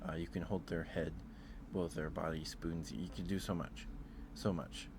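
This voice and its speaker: A man streaming casual ASMR chat, talking haltingly, often repeating words and trailing off. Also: low-pitched, level tone, noisy background. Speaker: streamer hosting a ranking.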